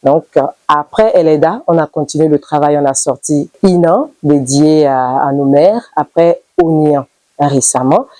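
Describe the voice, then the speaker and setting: A young woman singer from Benin, whose first language is Idaasha, answers questions in a measured, pausing way. Her voice sits low and mellow. The sound is dry and close. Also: no room sound. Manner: measured, with pauses and fillers. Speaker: singer being interviewed. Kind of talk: interview answers.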